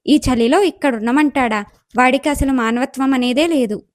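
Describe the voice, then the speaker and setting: A woman acts out a young, impatient goddess from a storybook tale. She questions and complains in a very high voice, recorded close and dry. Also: moderate pace, no echo, lightly acted. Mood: indignant. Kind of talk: acted moral story dialogue.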